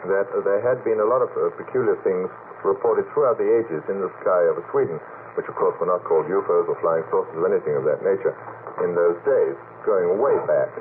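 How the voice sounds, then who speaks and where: A man's voice: low voice, quick pace. A man speaking as a radio panel guest, discursive and formally worded in conversation. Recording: tinny old radio broadcast, faint noise.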